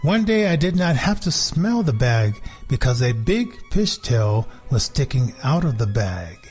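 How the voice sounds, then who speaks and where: A man reading a children's storybook as a sly, contented big house cat, in a measured, deliberate storytelling way. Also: medium pitch, big pitch swings, a little mellow, husky, faint music behind, voice pushed down. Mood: sneaky, earnest.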